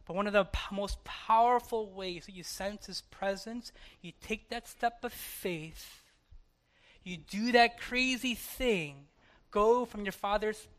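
Pastor, sermon delivery, bright forceful voice, emphatic, dropping to hushed then rising, repeating key phrases. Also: medium pitch. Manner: rhetorical questions and repetition for emphasis.

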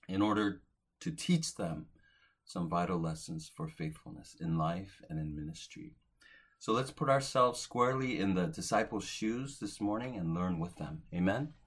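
A man in his forties preaching, his voice low and husky. He speaks earnestly, rising to emphatic peaks and then falling soft and quiet at the ends of his phrases. The delivery is a pastor's steady sermon. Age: 40s.

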